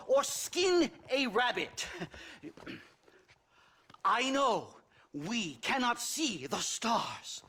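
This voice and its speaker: High-pitched, stammering eccentric professor. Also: male voice, fairly bright tone, husky, full character voice. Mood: nervous, patronizing. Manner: stammering, pompous, flighty, condescending. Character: eccentric pompous academic professor, excitable and twitchy. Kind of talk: theatrical video game acting.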